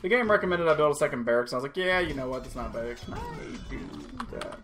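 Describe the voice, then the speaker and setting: A man's voice: fairly high pitch, expressive, quick pace. A man giving casual, rambling let's-play commentary, breaking off to talk to the units in his game. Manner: rambling, self-interrupting, talks to game units. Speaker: video game playthrough host. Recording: game music behind the voice. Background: video game music and sound effects.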